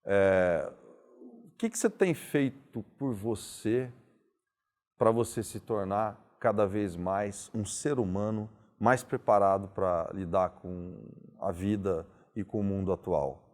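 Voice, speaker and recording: A man hosting an interview, asking thoughtful, philosophical questions in a calm conversational way. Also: fairly low pitch, slow.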